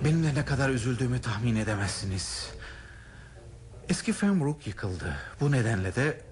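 A man's voice: mid-range voice, lively intonation, normal speed, lightly acted. A man in a radio drama playing a courteous, worried older male aristocrat, with formal and hesitant speech. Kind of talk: 1970s radio drama acting. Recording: radio drama with music behind.

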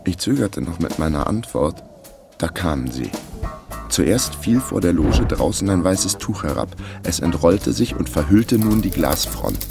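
An actor playing the first-person narrator of a radio crime drama, telling it in a measured way. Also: male voice, deep voice, expressive, creaky, heavily acted. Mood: composed narrating. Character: male first-person narrator of a crime story, wry and composed. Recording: loud music under the voice. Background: music.

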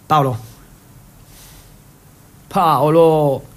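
A man's voice: fairly high pitch, fairly even intonation, drawn-out words, pitched below the performer's own voice. A man playing an easygoing teenage boy coaxing a friend in colloquial speech, in a theatre monologue.

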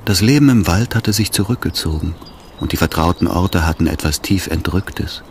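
A man narrating a literary radio drama in a measured, solemn manner. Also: low-pitched, slight background noise. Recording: orchestral music behind the voice.

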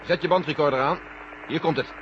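An actor playing an authoritative general running a space mission in a vintage science-fiction radio drama, speaking deliberately. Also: male voice, on the high side, lightly acted. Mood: commanding, urgent. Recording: noisy, dull old radio recording.

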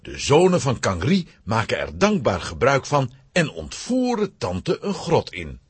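A man narrating a children's adventure story in a scripted, dramatic, expressive style with a husky voice. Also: medium pitch, a little slow, slight background noise.

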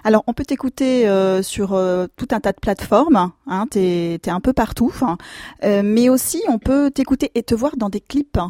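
A woman's voice: medium pitch, moderate pace, warm tone, no room sound, clean studio sound. A woman interviewing a singer casually, stumbling over her questions and correcting herself. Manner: informal, stumbling, self-correcting.

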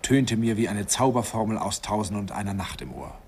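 A man's voice: on the low side, brisk, darkish tone, slight background noise, lightly acted. An actor playing a middle-aged man who wistfully and self-mockingly recalls his youth in a radio play. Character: man in his forties recalling his student days. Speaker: narrator looking back on his youth. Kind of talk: radio drama narration.

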